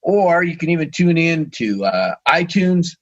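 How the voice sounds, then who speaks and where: A man's voice: on the high side, sing-song, unhurried. A man co-hosting a radio talk show, losing his voice and talking hesitantly with fillers. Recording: dull lo-fi sound.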